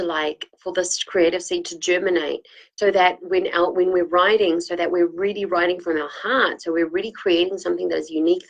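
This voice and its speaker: A woman with a slight cold, clearing her throat often, giving a rambling, casual spiritual talk full of fillers and restarts. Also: on the low side, rough recording. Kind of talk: casual spoken talk, answering viewers' comments.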